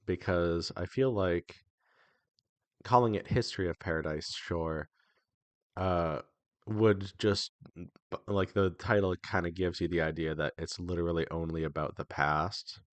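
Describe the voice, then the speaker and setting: A man with a low voice speaks as an indie developer hosting a devlog. His delivery is informal and conversational, with hesitant phrasing that often restarts. The sound is dry, with very little room in it. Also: dry recording. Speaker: indie visual novel developer and devlog host. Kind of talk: casual devlog talk.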